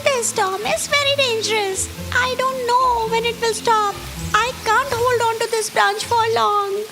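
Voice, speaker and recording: A woman's voice: very high-pitched, fairly even intonation, measured pace, pitched above the performer's own voice. A woman voicing a selfish, greedy female squirrel in a cartoon story, with a whiny, pleading manner. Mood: scared. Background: music.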